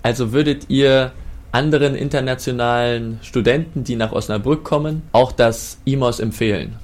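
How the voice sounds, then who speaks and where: A man's voice: medium pitch, normal speed. A man hosting an interview and asking open, friendly questions. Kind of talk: hosting a conversation.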